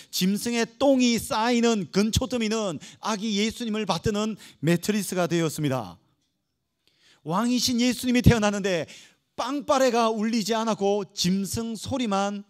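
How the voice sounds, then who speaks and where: High-pitched male pastor preaching emphatically. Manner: emphatic, with rhetorical questions to the congregation. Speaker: pastor preaching to young adults. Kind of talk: Protestant church sermon.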